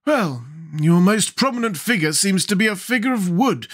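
A male reader puts on a fussy scarecrow king for a storybook, pitched very high and swinging widely up and down. He is stately, wordy and admonishing, a pompous ruler who is easily bewildered. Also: very animated, normal speed, fairly bright tone, exaggerated voice. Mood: severe. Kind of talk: storybook character voice.

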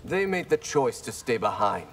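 An actor playing a sober, wary ninja in a video game, with terse, serious lines. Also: male voice, mid-range voice, very animated, normal speed, a little hiss or hum. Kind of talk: dramatic video game dialogue.

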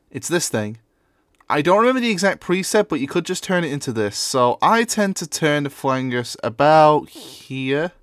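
A man's voice: fairly high pitch, dry recording. Male tutorial presenter, informal and offhand, recorded dry with very little room sound. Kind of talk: casual screen-recorded software tutorial.

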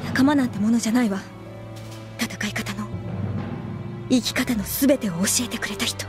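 A woman's voice: a little high, normal speed, lightly acted. A woman acting a serious, resolute young female soldier in an anime audio drama, speaking formally. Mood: grave, guarded. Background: background music.

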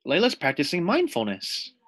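A man reading a picture book aloud to young children as their teacher, deliberate and explanatory. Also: a little high, huge pitch swings, a bit bright, dry recording. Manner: deliberate, explanatory, asks the listeners questions.

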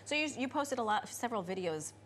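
A woman's voice: a little high, big pitch swings, fast, fairly bright tone, slight background noise. A woman in her thirties co-hosting a TV studio show, upbeat and chatty. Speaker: TV co-host. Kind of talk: TV host introduction and chat.

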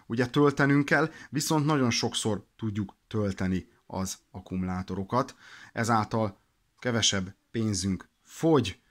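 A man explaining products in an informal instructional way, practical and a little hesitant in his delivery. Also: lowish voice. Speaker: product demonstrator for a radio shop.

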